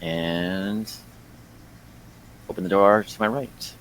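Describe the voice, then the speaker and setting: A man's voice: sits low, steady tone, slow, a bit bright, a little hiss or hum. A man playing a tabletop roleplaying game, chatting casually about his character's moves.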